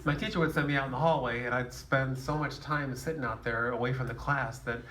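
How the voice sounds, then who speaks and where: A man's voice: medium pitch, fairly even intonation, fairly quick, a bit bright. A man, the author on a press panel, speaking modestly and chuckling as he talks. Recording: distant roomy hall with faint noise.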